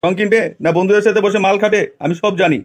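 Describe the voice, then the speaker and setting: A man's voice: high-pitched, fairly even intonation, racing. A man voicing a bad-tempered, foul-mouthed village father in an amateur comedy cartoon, scolding and threatening crudely.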